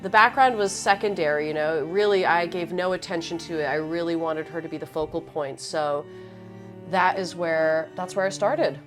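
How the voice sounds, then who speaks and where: A woman from Lebanon talks about her paintings in a documentary interview, pausing and restarting as she works through her thoughts. Her voice sits a little on the low side. She is a working artist. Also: faint music behind. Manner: reflective, halting, with frequent restarts.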